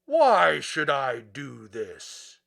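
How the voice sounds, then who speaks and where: A man voicing a terrible, gruff beast in a storybook reading, growling out slow, commanding words. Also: medium pitch, wildly swooping pitch, exaggerated voice. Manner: slow, loud, growling delivery. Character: gruff terrible beast, a wizard's fearsome shape.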